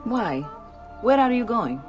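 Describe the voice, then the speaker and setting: Poised, shrewd Italian madam. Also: female voice, a little high, very animated, slow pace, soft background music. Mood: concerned, firm. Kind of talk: dramatic video game acting.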